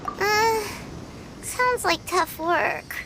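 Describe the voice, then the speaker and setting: A woman voicing a tiny, excitable, childlike fairy companion in a video game, asking quick, puzzled questions. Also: extremely high pitch, exaggerated voice. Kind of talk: video game cutscene acting. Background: game score music.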